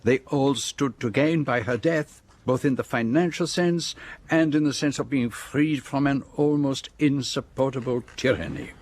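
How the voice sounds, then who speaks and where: An actor playing a self-assured detective in a radio drama, speaking in a deliberate, formal and precise way as he lays out a case. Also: mid-range voice, a little mellow, close to an everyday voice. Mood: measured, authoritative. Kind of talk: radio drama acting, monologue.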